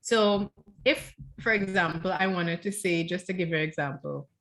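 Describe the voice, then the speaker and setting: A woman's voice: fairly low pitch, moderate pace. A Trinidadian woman, a guest author, explaining her writing thoughtfully in a casual book club chat over a video call, often restarting her phrases.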